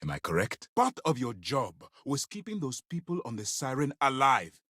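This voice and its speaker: A man playing a stern, principled space navy commander in a video game, speaking in a formal, measured, authoritative way. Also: medium pitch, wildly swooping pitch, bright, forceful tone, no room sound, clean studio sound, exaggerated voice. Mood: firm. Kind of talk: scripted game dialogue.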